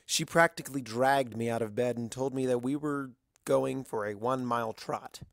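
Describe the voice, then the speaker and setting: Story narrator, scripted reading, mid pitch, dry sound. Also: normal speed, no room sound. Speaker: fan fiction narrator.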